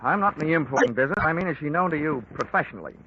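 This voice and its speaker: A man's voice: fairly high pitch, animated, very fast, lightly acted. A man playing a wisecracking American investigator. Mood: dry. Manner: dry, wisecracking. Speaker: narrator and lead. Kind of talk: 1940s radio acting. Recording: noisy lo-fi old radio recording.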